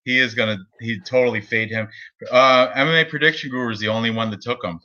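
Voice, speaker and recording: A man talks through his fight picks in loose, rambling chat and laughs often. His voice is bright and forceful. The recording sounds a bit dull and lo-fi. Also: medium pitch, quick pace. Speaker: co-host of a betting picks show. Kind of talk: casual chat.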